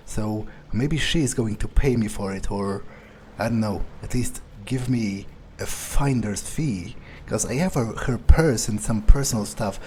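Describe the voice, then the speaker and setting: A man playing a sardonic, pragmatic vampire, muttering his thoughts aloud in a hesitant, deadpan way as in-character game commentary. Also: fairly low pitch, a little hiss or hum, lightly acted. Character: sardonic, pragmatic male vampire sneaking around. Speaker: game player roleplaying a character.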